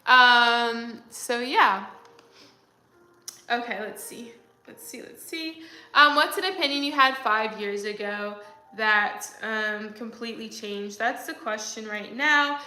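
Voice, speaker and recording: Chatty young woman, bright voice. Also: on the high side, slow, echoey room, soft background music. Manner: chatty, rambling, many fillers. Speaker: livestream host. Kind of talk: casual chat reading viewer comments.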